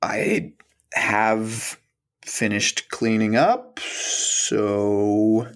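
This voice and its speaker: A man acting in an audio play as an awkward, hesitant mapmaker who speaks in a halting, stammering way. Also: on the low side, lively intonation, fairly bright tone, clean tone, heavily acted. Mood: flustered, awkward. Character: awkward, hesitant male mapmaker leaving his job.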